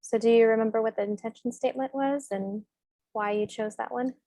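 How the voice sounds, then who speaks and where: A woman's voice: on the high side, steady tone, brisk, warm tone, no room sound. A woman hosting an interview over a video call, asking questions in an upbeat, encouraging way. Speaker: host.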